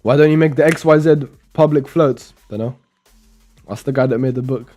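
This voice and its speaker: A man's voice: medium pitch, normal speed, a little mellow, soft background music. A young man from Romania, casually commenting while live coding and muttering code aloud as he types, with chatty asides. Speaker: programming streamer.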